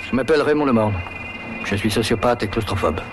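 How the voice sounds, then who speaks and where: Casting: man playing calm sociopath confessing in a film trailer, brisk delivery, loud score behind. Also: medium pitch, lightly acted. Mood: cool, confiding. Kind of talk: French film trailer dialogue. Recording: film trailer under loud music, vehicle noise. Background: loud music, vehicle.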